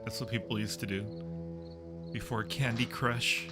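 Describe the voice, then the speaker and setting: A man's voice: mid-range voice, steady tone, moderate pace. A man hosting a casual live stream, making joking, wry asides over a film that he is watching. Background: film soundtrack and music playing.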